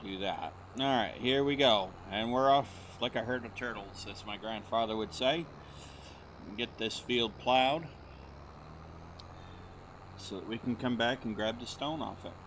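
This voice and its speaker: A middle-aged man from the United States, hoarse as he recovers his voice, rambling in friendly casual commentary over a farming game. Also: medium pitch, measured pace, fairly bright tone, a little hiss or hum, rough recording. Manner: friendly, rambling, many fillers. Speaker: gaming channel host. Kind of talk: casual let's-play commentary.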